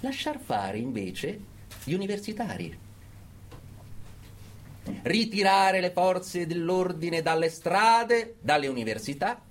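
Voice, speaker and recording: An actor playing a fanatical political orator in a theatrical radio monologue, emphatic and full of dramatic pauses. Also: male voice, high voice, sing-song, a little hiss or hum, heavily acted. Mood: measured, commanding. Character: fanatical male political orator urging brutal repression.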